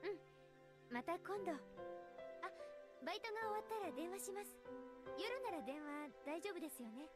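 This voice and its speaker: A woman's voice: very high-pitched, animated, fast, long pauses. A woman playing a sweet, breezy teenage girl in a Japanese visual novel, polite and a little coy. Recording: loud game music behind the voice.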